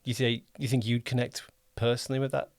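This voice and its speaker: A man's voice: medium pitch, flat delivery, fast, no echo, clean studio sound. A man hosting a casual interview, rephrasing his questions conversationally as he goes. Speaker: podcast host and producer.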